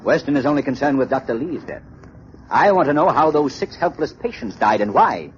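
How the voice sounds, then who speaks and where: An actor playing a shrewd, commanding gentleman sleuth in a 1930s radio drama, authoritative and dramatic. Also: mid-range voice, expressive, brisk, lightly acted. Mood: resolute. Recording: muffled, noisy 1930s radio broadcast recording.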